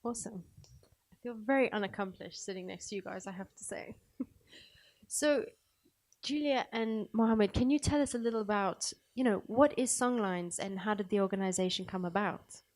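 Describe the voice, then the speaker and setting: A woman's voice: medium pitch, moderate pace, a little mellow. A woman moderating a panel discussion, introducing guests in an informal, conversational way.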